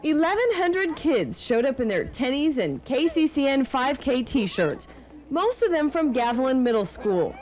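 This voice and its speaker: Female TV reporter, scripted news narration, fairly high voice, animated intonation. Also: a little slow.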